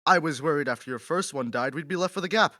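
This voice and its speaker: A man's voice: a little high, fast, sharp tone, dry recording, studio quality. A man acting a pompous, temperamental aristocratic poet in a period radio drama, theatrical and condescending. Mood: mocking.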